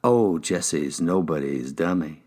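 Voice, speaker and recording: Man acting a smoothly probing detective, low voice. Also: slow pace, darkish tone. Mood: cool, probing. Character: tall, dapper male detective, deceptively friendly and probing. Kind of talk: audiobook character dialogue.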